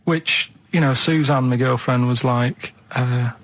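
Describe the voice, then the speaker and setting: A man's voice: medium pitch, steady tone, unhurried, a bit bright, rough recording. A man in his thirties with a Mancunian accent chatting casually on radio, blunt, plain-spoken and reluctant. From Manchester, England. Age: 30s. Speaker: radio show sidekick. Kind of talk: casual chat.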